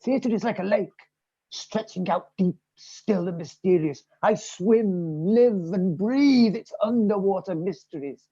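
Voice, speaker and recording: A woman's voice: mid-range voice, a little slow, mellow, slightly rough. A woman playing a pompous theatrical man who declaims in ornate, lofty phrases over a muffled online call. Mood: grandiose. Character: pompous theatrical thespian man named Roger, lofty and grandiose.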